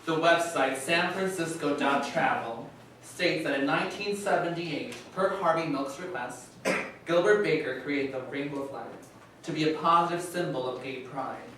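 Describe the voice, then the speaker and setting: Actor as deliberate male narrator, scripted factual reading, formal, distant mic, strong room echo. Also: a little high, fairly bright tone, slight background noise, close to an everyday voice. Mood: neutral, formal. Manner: measured, deliberate.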